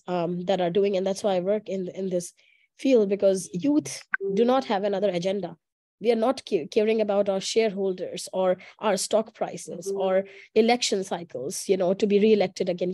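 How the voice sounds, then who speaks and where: A woman's voice: medium pitch, mellow. A young woman giving earnest panel remarks as a youth advocate on a video call. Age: young adult.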